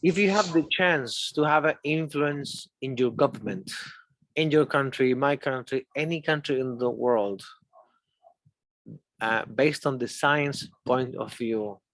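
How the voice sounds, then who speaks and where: A man's voice: mid-range voice, measured pace, a bit bright, no room sound, poor audio. A man from Chile with a Chilean accent, a native Spanish speaker and English teacher, interviewing conversationally and explaining things step by step with examples.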